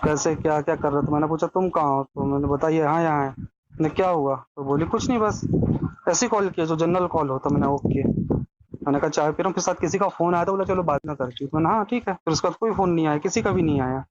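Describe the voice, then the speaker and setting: Guy with kinda high voice chatting casually on a muffled call recording. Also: fast talker, slight background noise. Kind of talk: casual phone chat. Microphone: phone call. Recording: dull lo-fi phone call recording.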